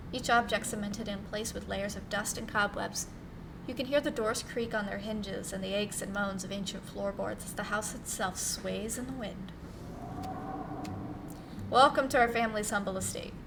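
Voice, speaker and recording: A woman streamer reading visual novel text aloud in an expressive, theatrical way and adding side comments. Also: medium pitch, vocal fry, far from the mic, noisy background. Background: music.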